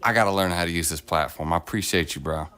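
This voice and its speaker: A middle-aged man hosting a casual livestream from his home table, rambling and self-deprecating, his voice deepened while getting over an illness, recorded on a phone. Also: fast talker, pushed and bright. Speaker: streamer host. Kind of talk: casual livestream chat while painting miniatures. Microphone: phone on a clamp arm.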